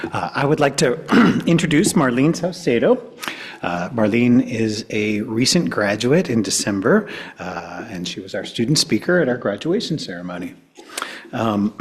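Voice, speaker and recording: A man, a program coordinator, reading a formal student introduction at a school board meeting with frequent fillers. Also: medium pitch. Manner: reads steadily with frequent "uh" fillers and throat clearing. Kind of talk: formal introduction read from notes.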